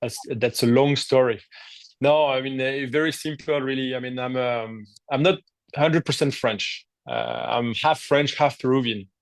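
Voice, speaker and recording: A man's voice: medium pitch, moderate pace, no echo. A man who grew up in Africa, a guest on a podcast, answering in a hesitant way with frequent fillers and restarts. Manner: hesitant, many fillers and restarts, searching for words. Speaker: guest, aviation executive. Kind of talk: podcast interview.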